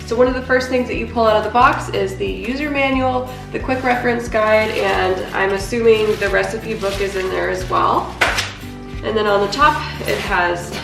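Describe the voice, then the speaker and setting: Casting note: excitable woman vlogger with a head cold, gravelly fry, recorded off-mic in an echoey kitchen. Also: medium pitch, moderate pace, distant mic. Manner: chatty and excitable, narrating as she unpacks. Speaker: home cooking vlogger. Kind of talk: casual unboxing vlog. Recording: echoey room, off-mic, music underneath.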